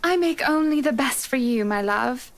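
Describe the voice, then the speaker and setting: A woman's voice: sits high, animated, normal speed. A woman playing a sweet, formal, eerily devoted wife in a visual novel game. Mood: doting, eager. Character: doting, eerily eager wife serving breakfast to her husband.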